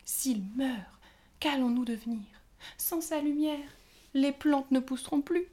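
A tiny storybook fairy, fearful and pleading, her voice climbing high and loud with worry, as a woman reads her. She sounds close to tears, piling up fears one after another. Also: unhurried. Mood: worried. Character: anxious little fairy girl, fearful and pleading.

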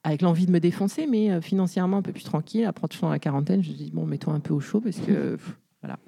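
A woman's voice: lowish voice, fast talker, gravelly, warm tone, husky, no echo. A woman in her forties, an entrepreneur, telling her career story in a casual interview, full of fillers and restarts. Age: 40s. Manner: chatty, many fillers and restarts. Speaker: guest, entrepreneur.

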